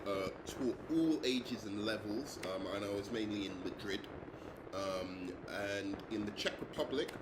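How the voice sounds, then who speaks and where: A young man from London introduces himself as a teacher, pausing often on ums and uhs. His voice sits a little low. The room rings with echo, and steady background noise runs under him. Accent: English, London. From England. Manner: hesitant, many ums and uhs. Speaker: English teacher. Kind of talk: self-introduction video.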